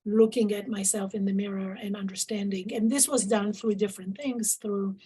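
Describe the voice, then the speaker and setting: A middle-aged woman from Israel whose first language is Arabic, a professor answering interview questions thoughtfully, with frequent pauses and restarts. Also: mid-range voice, fairly even intonation, dark tone, slightly rough. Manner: thoughtful, many fillers and restarts. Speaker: professor guest.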